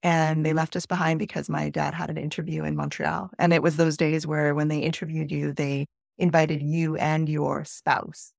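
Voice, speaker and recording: A middle-aged Canadian woman, a native Spanish speaker, tells personal stories in an interview. Her voice has a noticeable vocal fry and sits close and dry on the recording. She phrases things in a measured, reflective way. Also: low voice, level tone, a little mellow, dry recording. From northern Alberta, Canada, born in Peru. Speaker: podcast guest.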